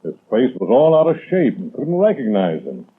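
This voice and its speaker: An actor in a 1930s radio drama playing a dutiful, plain-spoken police captain on a tinny old recording. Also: male voice, mid-range voice, huge pitch swings, normal speed, slightly rough.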